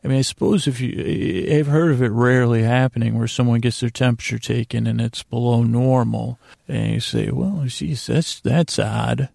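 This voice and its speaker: Very creaky, meandering man's voice. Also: medium pitch, steady tone, a little mellow, no echo. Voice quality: creaky, gravelly. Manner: meandering, hesitant, with long pauses and stammered restarts. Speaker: host of a bedtime story sleep podcast. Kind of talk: rambling, soothing bedtime monologue. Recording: faint music under a dry voice.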